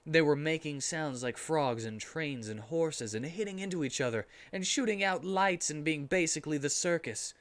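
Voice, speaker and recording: A man streaming a comic dramatic reading with casual commentary, sounding amused and animated. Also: fairly high pitch, moderate pace, few pauses.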